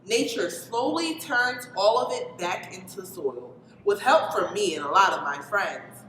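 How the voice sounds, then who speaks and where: Woman as a friendly, animated compost worm. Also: medium pitch, measured pace. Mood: reassuring. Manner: upbeat, patient explaining. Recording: reverberant hall with background noise.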